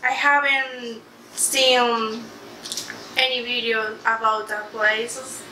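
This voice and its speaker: A young woman from Colombia with a Colombian Spanish accent, a native Spanish speaker, answering interview questions in halting English with soft laughs, recorded by a camera across a living room. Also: fairly high pitch, level tone. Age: young adult. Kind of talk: casual interview in a second language. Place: living room, sitting on a couch. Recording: echoey room, distant mic, background noise.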